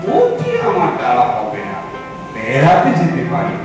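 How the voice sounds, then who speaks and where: A man acting in an audio drama as an eager, romantic older gentleman, expressive and coaxing, hesitating and repeating himself. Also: medium pitch, moderate pace, lightly acted. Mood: eager, playful. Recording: very echoey, distant, music behind. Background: background music.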